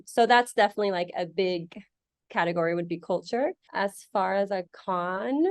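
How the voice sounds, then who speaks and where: A woman's voice: mid-range voice, no echo, clean studio sound. A young woman from Egypt answering interview questions in a casual, chatty way, with many fillers and restarts. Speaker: guest.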